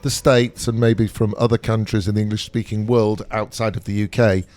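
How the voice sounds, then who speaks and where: A man's voice: lowish voice, flat delivery, normal speed, darkish tone, no echo. Older guy chatting on a travel podcast, dry close sound, faint music behind. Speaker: podcast co-host. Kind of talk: casual podcast chat.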